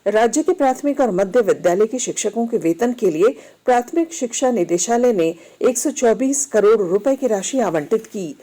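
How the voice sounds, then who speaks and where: A woman reads a scripted news bulletin as a radio newsreader, in a formal, measured manner. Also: on the high side, animated, mellow.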